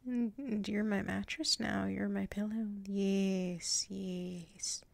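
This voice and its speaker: A woman's voice: medium pitch, steady tone, takes it slowly, gravelly, darkish tone, no room sound. A woman playing a submissive yet proud tsundere werewolf in an intimate ASMR roleplay, murmuring hesitantly and often slipping into whispers. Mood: drowsy, content. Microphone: close mic.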